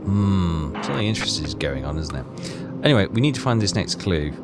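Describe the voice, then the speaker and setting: A man's voice: low-pitched, sing-song, quick pace, loud music behind the voice. A man giving casual game walkthrough commentary, musing and thinking aloud as he plays.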